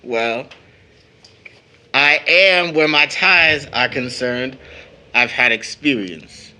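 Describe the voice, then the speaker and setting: A man playing a young brother and son in an audio drama, speaking in a measured, earnest, slightly wry way. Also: medium pitch, pushed and bright. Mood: teasing, wry. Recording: noticeable background noise.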